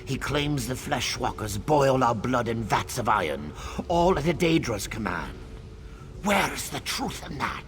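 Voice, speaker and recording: A man voicing a grave, troubled tree spirit in a video game, solemn and drawn out, sinking into breathy whispers. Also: medium pitch, big pitch swings, measured pace, slight background noise. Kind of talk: video game character acting.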